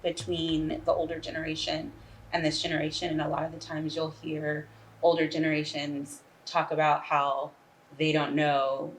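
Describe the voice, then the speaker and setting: Female interview guest, low register, conversational, slight background noise. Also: completely flat delivery, normal speed, poor audio. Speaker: playwright and guest. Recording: faint background room noise.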